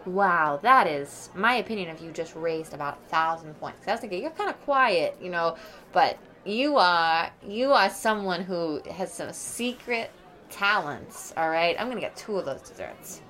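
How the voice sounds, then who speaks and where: Actress as chatty, easily impressed woman at a school function. Effusive, slightly patronizing, animated delivery, improv radio drama. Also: fairly low pitch, moderate pace. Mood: amazed, gushing.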